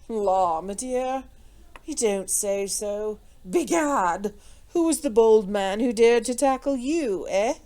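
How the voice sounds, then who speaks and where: A woman voicing a lazy, foppish, imperturbable English gentleman with a British accent and a blandly amused drawl in an audiobook. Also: on the high side, expressive, takes it slowly. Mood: placid, amused. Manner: drawling, blandly amused, affected upper-class exclamations.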